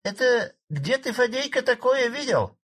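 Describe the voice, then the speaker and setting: A shrill, nosy village woman, as a male reader puts her on, calling out from the back rows in a voice pushed far up in falsetto. Audiobook character voice. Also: big pitch swings, measured pace, a bit bright, full character voice. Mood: mocking.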